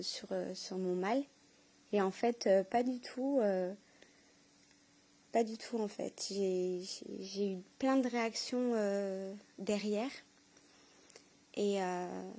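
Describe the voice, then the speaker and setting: A woman giving a spontaneous, heartfelt testimony, speaking haltingly and earnestly as she searches for her words. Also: medium pitch, dark tone. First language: French. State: emotional, tearful with joy. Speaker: person giving a personal testimony.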